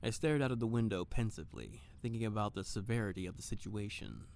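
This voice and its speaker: An actor playing an earnest private detective, narrating and talking in a dry, deliberate noir style for audio drama. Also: male voice, lowish voice, creaky, darkish tone. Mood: dry, serious.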